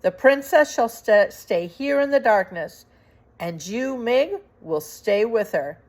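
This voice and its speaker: Woman voicing a scornful, pompous villain rat, lively pitch. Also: slow pace. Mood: menacing, pompous. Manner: pompous, formal, sneering speech. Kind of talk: children's storybook read aloud.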